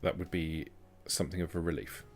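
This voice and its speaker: A man plays a guarded vampire woman in a tabletop roleplaying game. The voice is very deep, and the wording is formal, measured and careful, as in a delicate negotiation. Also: fairly even intonation, natural voice.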